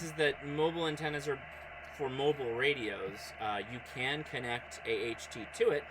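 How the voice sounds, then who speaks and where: Over clear background noise, a man with a mid-pitched voice talks casually as a radio hobbyist on a livestream. He thinks out loud and sounds a bit distracted, as if juggling several things while he speaks. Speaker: amateur radio streamer.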